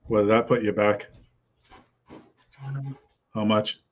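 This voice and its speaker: A man's voice: fairly low pitch, flat delivery, slow pace, lo-fi sound, slight background noise. A man on a livestream panel who makes brief dry remarks. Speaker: panel guest. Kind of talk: casual chat.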